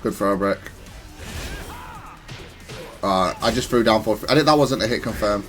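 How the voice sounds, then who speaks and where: A man giving casual, analytical commentary on his own gameplay, self-critical but matter-of-fact and even-tempered. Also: fairly low pitch, moderate pace, faint music behind. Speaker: gaming content creator commentating on own matches.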